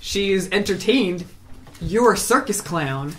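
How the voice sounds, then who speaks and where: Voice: mid-range voice, lively intonation, slow pace, slight background noise. A game master with a Brazilian accent, from Brazil, narrating a tabletop game in a blunt, teasing way. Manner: blunt, teasing, swears freely. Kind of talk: casual game narration and banter.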